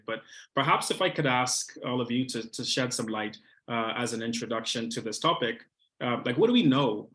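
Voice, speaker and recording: A man moderating an online panel discussion, speaking in a measured way with frequent hesitations. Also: mid-range voice, a bit bright. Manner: measured, hesitant with many fillers.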